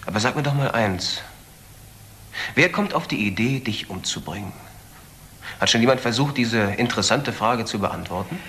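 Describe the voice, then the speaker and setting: Sardonic layabout nephew, crime drama; medium pitch, forward bright voice, some background noise. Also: male voice, lively intonation, normal speed, pauses often. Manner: mocking, flippant. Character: sardonic, idle nephew of a stern doctor. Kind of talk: German crime drama acting. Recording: background noise, car rumble in places.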